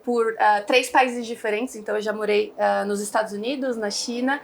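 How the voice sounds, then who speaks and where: A woman's voice: on the high side, steady tone, normal speed, vocal fry. A young Brazilian woman talking casually as an interview guest, chatty and rambling with many fillers. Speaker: guest, founder of an online English school.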